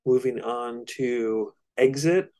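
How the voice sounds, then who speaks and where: A man's voice: mid-range voice, slow, a little mellow. A man co-hosting a casual album discussion, explaining things in a loose, slightly hesitant way. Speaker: podcast co-host.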